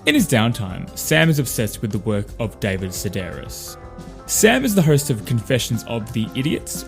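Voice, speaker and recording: A man hosting a podcast, reading an upbeat scripted outro with credits. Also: medium pitch. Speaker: podcast host and voice actor. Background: music with guitar.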